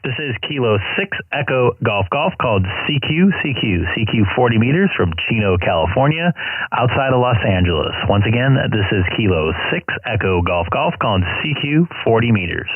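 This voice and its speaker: A man on amateur radio, reciting his call sign in the phonetic alphabet in a formal, repetitive way, his voice slightly hoarse. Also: medium pitch, sing-song, moderate pace, no echo. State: voice getting worn, starting to go hoarse. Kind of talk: calling CQ over the air. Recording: tinny phone-like radio audio, dry.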